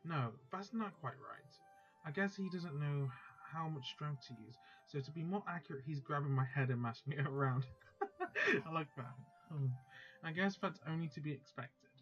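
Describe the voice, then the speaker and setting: A man's voice: fairly high pitch, lively intonation, moderate pace, fairly bright tone, dull audio, faint music behind. A man hosting a let's play, chatting casually and then reading a visual novel's text aloud.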